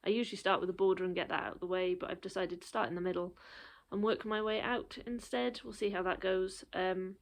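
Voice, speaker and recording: Woman, 30s, rambling show-and-tell crafter, a bit breathless, gravelly edge. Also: medium pitch, fairly even intonation, brisk, hardly any pauses. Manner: chatty, rambling with many ums. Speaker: cross stitch vlogger.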